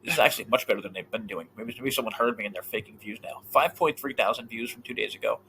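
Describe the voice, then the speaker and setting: A man co-hosting a casual podcast chat, animated and swearing freely, often trailing off mid-thought. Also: medium pitch, fast, gravelly, a little hiss or hum, low-quality recording. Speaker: podcast co-host.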